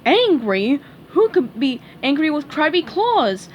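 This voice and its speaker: A woman reading a storybook aloud, voicing a greedy, jolly old male crab who runs a restaurant, with a gruff pirate-like bluster. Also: high voice, very animated, slow pace, muffled recording, slight background noise, pitched above the performer's own voice, exaggerated voice. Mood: dismissive.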